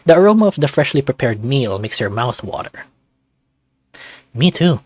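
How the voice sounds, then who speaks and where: A man's voice: mid-range voice, big pitch swings, a little fast, a little mellow, no echo. A man hosting a casual playthrough, reading a visual novel's narration aloud.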